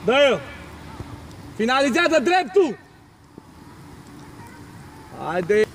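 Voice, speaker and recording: A man coaching football, calling out loud, urgent short commands and praise during a training drill. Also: extremely high pitch, big pitch swings, slight background noise. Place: outdoors on a football training pitch.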